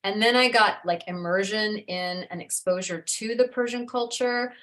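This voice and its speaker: A woman's voice: mid-range voice, normal speed, fairly bright tone. Reflective middle-aged woman author. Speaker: author being interviewed. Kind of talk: casual conversational interview.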